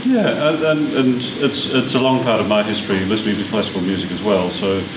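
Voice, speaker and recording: An Australian man from Sydney, an orchestral piccolo player, chatting in an animated way with frequent restarts. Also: on the low side, fairly quick. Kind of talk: casual interview. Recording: distant echoey mic with air-conditioning hum.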